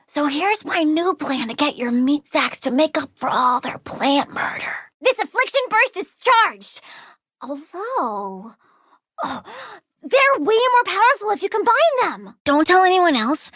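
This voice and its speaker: Super high girly plant villain for a game, cutesy n creepy, really animated delivery. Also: no echo, studio quality, full character voice. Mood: defiant. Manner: cutesy, playful, swinging from chirpy excitement to sulky menace. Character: childlike plant-girl supervillain, perky, eccentric, gleefully menacing. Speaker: video game voice actor. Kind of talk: video game character voice lines.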